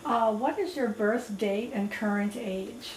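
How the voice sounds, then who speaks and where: A woman's voice: mid-range voice, slow pace. Woman interviewer in a roomy, distant recording. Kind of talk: formal interview questions. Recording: roomy, distant mic with faint noise.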